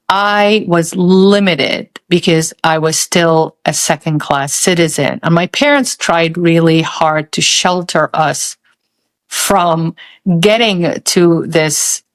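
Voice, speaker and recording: A woman who grew up in Saudi Arabia, telling a personal story in a thoughtful, halting way with many pauses. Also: sits low. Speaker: podcast host.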